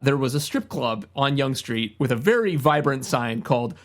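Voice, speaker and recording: A man's voice: medium pitch, expressive, normal speed. A middle-aged man speaks in a mid-range voice. He talks casually and agreeably, like a co-host discussing movies with a friend. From Ontario, Canada. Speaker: podcast co-host.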